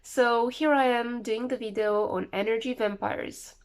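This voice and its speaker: A woman's voice: a little high, steady tone, unhurried, clean tone. A woman with the flu talking casually to camera, rambling and repeating words for emphasis. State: sick with flu, voice a bit off. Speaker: vlogger.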